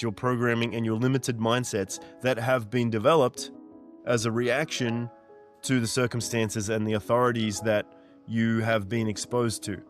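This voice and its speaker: Man, 40s, earnest motivational coach voice, mid pitch, light music bed. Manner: earnest, direct, repetitive emphasis. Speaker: mindset coach. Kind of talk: motivational monologue.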